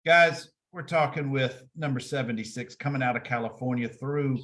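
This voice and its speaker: Male host, former college player, conversational, long winding questions, mid pitch. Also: normal speed, a bit bright. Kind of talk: interview hosting.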